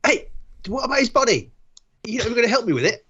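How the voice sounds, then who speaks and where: Performer as a grumpy, put-upon man, husky, swinging pitch, comedy script read. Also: sing-song, moderate pace, lo-fi sound. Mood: exasperated, grumbling.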